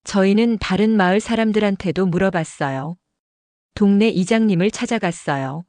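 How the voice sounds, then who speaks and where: A woman's voice: medium pitch, moderate pace, no echo. Woman narrating an audio drama. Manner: steady storytelling narration.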